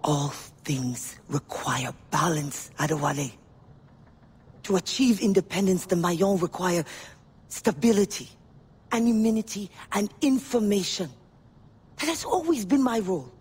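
An actress playing a proud, cautious, world-weary informant in a video game, with a husky, breathy voice, measured and weighty. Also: female voice, on the low side, expressive, darkish tone, long reverb tail, heavily acted. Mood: measured, reflective. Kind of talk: dramatic video game acting.

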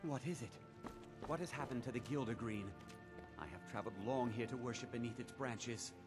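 Fantasy game pilgrim played by a man: devout, earnest, formal, mid-pitched, clear music underneath. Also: brisk, close to an everyday voice. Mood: dismayed. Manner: earnest, reverent, formal wording. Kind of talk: fantasy video game dialogue acting.

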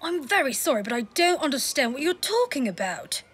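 A woman's voice: high voice, sing-song, moderate pace, fairly bright tone, slight background noise. A woman voicing a polite, bewildered English schoolboy in a cartoon, with a plaintive way of talking. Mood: confused. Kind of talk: cartoon dialogue.

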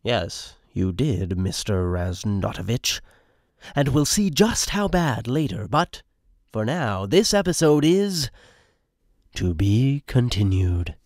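Animated man narrating a radio play. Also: on the low side, slow, no echo, clean studio sound.

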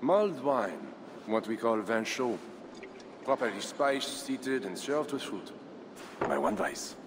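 A cold, proud Frankish count in a game cutscene. His low voice rises and falls with a lively, haughty swing, and steady background ambience runs under it. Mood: wary, haughty. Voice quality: measured, haughty, lively delivery. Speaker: Frankish nobleman. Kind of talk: video game cutscene acting. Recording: game audio with clear ambient noise.

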